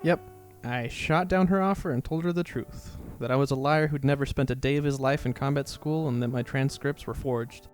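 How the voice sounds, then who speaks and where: A man's voice: medium pitch, normal speed, faint music behind, close to an everyday voice. A man playing a downcast young ex-student turned mobster, confessing his past in a dramatic fan fiction reading. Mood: rueful, confessional.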